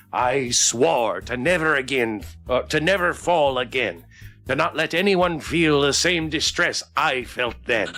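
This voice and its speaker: A man's voice: a little high, pushed and bright, exaggerated voice. A man voicing a swaggering, teasing, big-hearted drunk pirate woman from a game, in hearty theatrical pirate dialect. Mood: grave. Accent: stage pirate.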